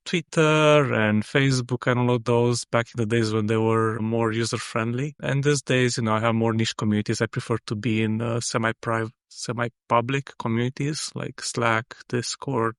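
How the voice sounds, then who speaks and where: A man from Romania, a freelance software engineer, talking as a guest in a casual interview, thoughtful and full of "you know" fillers. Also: mid-range voice, no room sound, studio quality.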